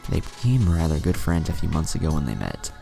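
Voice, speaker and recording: A man's voice: a bass voice, very animated, fairly quick, vocal fry, warm tone, soft background music. A man reading a scripted fan fiction story aloud, narration and dialogue alike.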